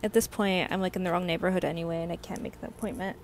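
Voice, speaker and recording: A young woman, slightly hoarse, telling a rambling casual storytime full of "like" fillers. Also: fairly low pitch, steady tone, racing, slight background noise. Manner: rambling, heavy use of "like" fillers. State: slightly hoarse from a sore throat. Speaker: vlogger.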